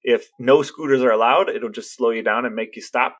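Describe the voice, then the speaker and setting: An American man co-hosting a podcast, explaining things casually in a measured, matter-of-fact way. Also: mid-range voice. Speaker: podcast co-host.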